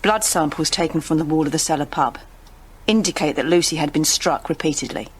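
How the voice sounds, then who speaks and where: An actress playing an analytical police detective, measured and pressing, in an audio drama. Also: female voice, sits low, slight background noise, lightly acted. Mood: clinical.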